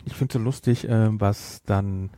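A man's voice: on the low side, steady tone, measured pace, warm tone, no echo, clean studio sound. A man co-hosting a podcast in casual chat, emphatic and opinionated, repeating himself to press his point. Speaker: podcast co-host. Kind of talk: casual chat reviewing audio drama.

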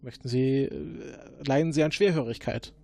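A man in an improvised role-play, questioning formally and probingly as an investigator, often halting mid-sentence. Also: mid-range voice, gravelly, dark tone, a little hiss or hum. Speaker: player acting as investigating agent.

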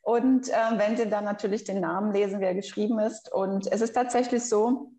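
A woman's voice: mid-range voice, steady tone, moderate pace, dark tone, echoey room. A woman from Brazil with a Brazilian Portuguese accent, a podcast guest patiently explaining pronunciation. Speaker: guest researcher. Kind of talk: podcast interview.

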